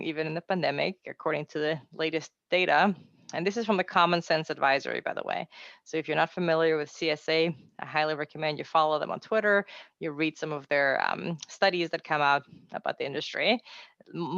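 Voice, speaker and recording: Upbeat woman with an Austrian accent and a gravelly edge. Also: low voice, a bit bright, no echo, dull audio. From Austria, raised in Mexico City. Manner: upbeat, chatty, self-deprecating asides. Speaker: translator giving a webinar talk. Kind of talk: encouraging presentation.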